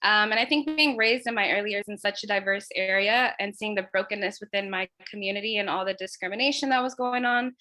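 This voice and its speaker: A woman's voice: mid-range voice, fast, hardly any pauses, sharp tone. A woman from Los Angeles, a resident artist, giving an earnest prepared presentation of her community project.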